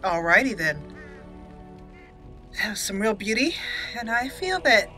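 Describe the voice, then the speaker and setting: A woman's voice: medium pitch, very animated, measured pace, sharp tone, faint music behind, low-quality recording. A woman giving casual, playful let's play commentary, chatting to herself and to the game as she goes. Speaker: gaming video host.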